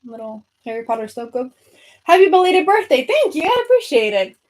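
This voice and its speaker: A woman's voice: sits high, sing-song, brisk, a little mellow. A young woman with a Venezuelan accent chatting casually with viewers as she sorts her bookshelves, rambling with many fillers. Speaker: book vlogger. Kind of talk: casual chat with live viewers. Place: home room by bookshelves.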